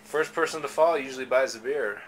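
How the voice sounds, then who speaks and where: A man's voice: medium pitch, a little fast, fairly bright tone. A man chatting casually and playfully on a home video, encouraging like a father, recorded on a handheld camera mic. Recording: noisy handheld outdoor camcorder audio.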